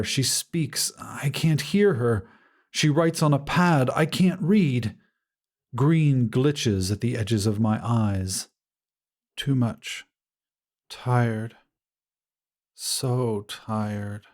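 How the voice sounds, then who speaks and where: A man narrating a scripted first-person story in a measured, dramatic way with deliberate pauses. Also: medium pitch, a little mellow. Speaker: audiobook narrator.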